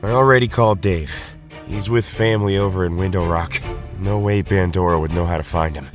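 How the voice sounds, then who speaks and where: A guarded male team leader acted by a man in a superhero audio drama. He is low-pitched, serious and clipped, over faint music. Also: a little fast, close to an everyday voice.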